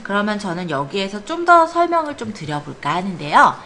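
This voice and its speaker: A woman's voice: mid-range voice, big pitch swings, a little fast, bright, forceful tone. A woman computer instructor leading a hands-on classroom lesson step by step, often checking that students follow with short questions and encouragement. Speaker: computer academy instructor teaching a spreadsheet class. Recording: clear background noise in classroom.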